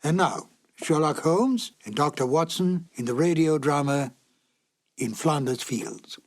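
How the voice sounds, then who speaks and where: A man's voice: fairly high pitch, expressive, unhurried. A man giving a scripted narration as the presenter of a classic radio drama.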